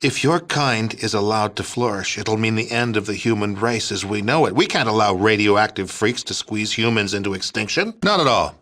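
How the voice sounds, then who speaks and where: A fanatical American head of state as a video game villain. His voice sits a little low, with an American accent. He orates like a folksy politician, utterly righteous and condescending. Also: animated, moderate pace, few pauses, fairly bright tone. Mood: righteous, unyielding. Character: fanatical, self-righteous American president plotting mass extermination.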